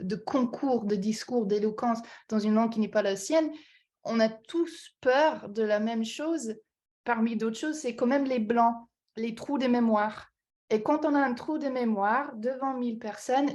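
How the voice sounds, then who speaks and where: Give me a woman who speaks French as a second language, careful and a little high-pitched. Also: a little mellow. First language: not French. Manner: careful, reflective, some restarts. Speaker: guest, past contest laureate. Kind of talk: online webinar discussion. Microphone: video call.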